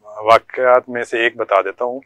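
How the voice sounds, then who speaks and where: A man's voice: mid-range voice, steady tone, moderate pace, a bit bright, dry recording. Pakistani man recounting work stories, dry sound. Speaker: guest, former air traffic controller. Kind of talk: conversational storytelling in an interview.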